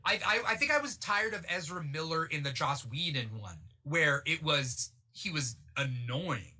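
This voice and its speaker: Bright-voiced man in casual chat. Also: fairly high pitch, animated, normal speed. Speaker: show host. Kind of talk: casual panel chat about comic book films.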